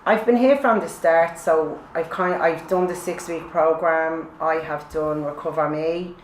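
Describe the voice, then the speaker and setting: A woman's voice: low-pitched, steady tone, a little slow. A woman, a peer support trainee, plainly listing the courses she has done. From Ireland. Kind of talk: recorded testimony. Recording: echoey room with faint noise.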